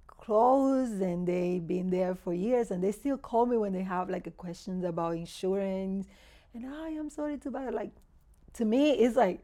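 A woman's voice: mid-range voice, a little mellow. A middle-aged woman from the Dominican Republic with a Dominican Spanish accent, telling stories conversationally as an interview guest and acting out remembered dialogue. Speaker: guest, real estate agent.

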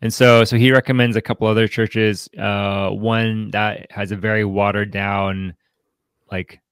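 Low-voiced man in halting casual podcast talk. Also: level tone, smooth, no room sound, clean studio sound. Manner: halting, repeats words, frequent "like". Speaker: podcast host. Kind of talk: casual discussion and commentary.

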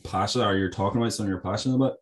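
A young man hosting a podcast, relaxed and casual, with a fairly even, monotone way of talking. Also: lowish voice, very fast. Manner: relaxed, laughs, self-described monotone.

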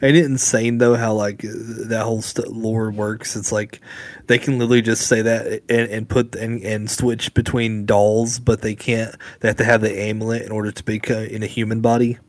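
A man on a podcast panel chatting casually about films in a halting way, often repeating words. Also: fairly low pitch, little pitch movement.

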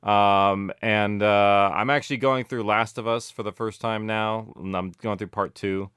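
A man's voice: lowish voice, measured pace, clear, resonant tone, dry recording, clean studio sound. A middle-aged man chatting casually as an interview guest, rambling and correcting himself as he goes. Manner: animated rambling, self-correcting, peppered with "like" and "you know". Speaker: interview guest, audio drama producer.

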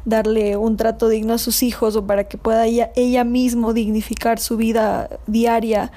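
A woman's voice: a little high, little pitch movement, moderate pace, warm tone. A woman speaking in a thoughtful, hesitant way in a casual discussion, often repeating words as she thinks.

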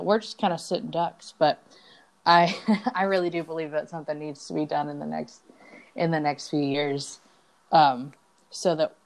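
Woman cohost, kinda low voice, laughs a lot, earnest and chatty. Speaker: podcast co-host. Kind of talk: casual chat.

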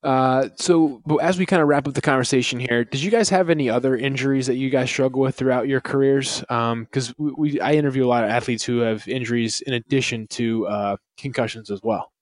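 A man hosting a casual podcast interview, talking in a relaxed, filler-heavy way. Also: medium pitch, fairly quick, hardly any pauses, no room sound. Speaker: interviewer host.

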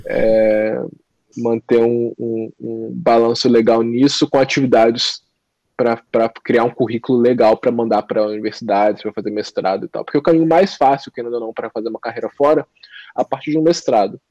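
A man's voice: mid-range voice, steady tone, moderate pace, a little mellow, no echo. Man, young adult, hesitant and reflective, dry, muffled remote recording. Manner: reflective, hesitant with many restarts. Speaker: podcast guest. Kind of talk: casual interview answers. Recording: dull lo-fi dry sound.

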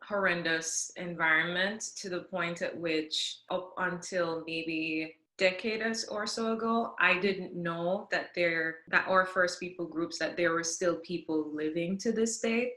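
A woman from Jamaica speaks with a bright, forceful voice. She talks expressively and with enthusiasm. Her voice echoes noticeably around the room. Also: lowish voice, fairly even intonation, unhurried, few pauses. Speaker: guest. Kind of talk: interview storytelling.

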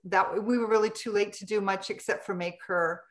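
Female interview guest, sixties, mid-range pitch, conversational delivery. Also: fairly even intonation, fairly quick, fairly bright tone. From Canada. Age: 60s. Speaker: interview guest, health and lifestyle advocate.

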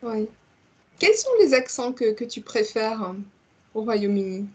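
Woman co-host, husky and higher-pitched, animated anecdotal delivery. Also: a little slow, highs cut off. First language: multilingual, grew up in Congo and Belgium. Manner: animated storyteller, frequent fillers, laughs easily. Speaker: podcast co-host. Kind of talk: casual chat, telling an anecdote.

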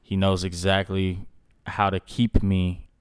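A man in his twenties with a deep baritone voice, a guest chatting casually in an interview, rambling with many fillers. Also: completely flat delivery, slow, clear, resonant tone, dry recording. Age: 20s. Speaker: guest, recording artist.

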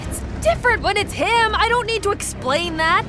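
An actress playing a conscientious, exasperated young fighter in a radio drama, with a sarcastic, protesting manner. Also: female voice, very high voice, expressive, moderate pace, music in the background.

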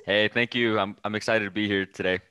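A man's voice: lowish voice, steady tone, a little fast, pushed and bright. A young man chatting casually as a podcast guest, speaking into a headset. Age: young adult. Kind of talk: casual chat. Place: room at home. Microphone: gamer headset.

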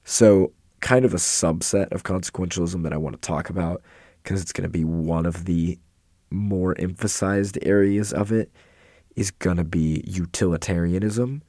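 Young male student, hoarse, very low gravelly voice, dry recording; loose rambling explainer. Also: moderate pace, warm tone, no room sound. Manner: rambling, self-correcting, filler-heavy. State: hoarse from yelling and illness. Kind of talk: casual explainer talk.

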